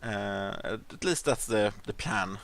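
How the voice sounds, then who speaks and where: A man with a Swedish accent gives relaxed gameplay commentary in a somewhat low voice. He thinks out loud, stalling on ums and uhs and restarting his sentences. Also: unhurried. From Sweden. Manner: rambling, hesitant, many fillers and restarts. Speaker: gaming channel host.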